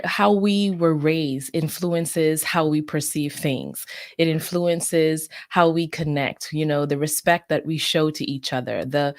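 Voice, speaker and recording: A woman's voice: low voice, no room sound, clean studio sound. A middle-aged woman from the Bahamas, a communications advisor who answers interview questions in an earnest, reflective and articulate way.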